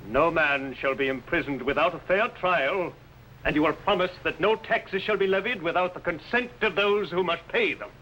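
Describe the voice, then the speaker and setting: A bold medieval archbishop in an old television costume drama. His delivery is firm, formal and declamatory, in a sharp, forceful, raised voice. The vintage soundtrack is faintly noisy. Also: fairly high pitch, normal speed. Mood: firm, solemn. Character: bold, righteous medieval archbishop defying a tyrant.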